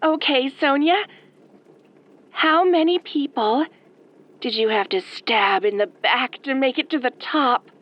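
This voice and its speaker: A woman playing an eager, girlish, obsessive fan with a menacing streak, gushing questions in an audio roleplay. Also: sits high. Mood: sweetly menacing. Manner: gushing, rapid-fire questions. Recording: muffled phone-in caller line.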